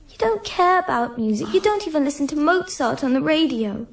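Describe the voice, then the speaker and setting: An actress playing a passionate, petulant teenage music student in a radio drama. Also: female voice, sits high, expressive, fairly quick, gravelly, distant mic. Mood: upset, defiant. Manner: plaintive, petulant.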